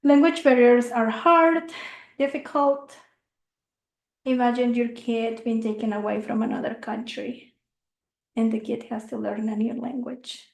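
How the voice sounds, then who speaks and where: Woman, young, earnest, halting, high voice, echoey room. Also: creaky, a little mellow. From Mexico. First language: not English. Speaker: speaker. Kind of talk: informational talk. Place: meeting room.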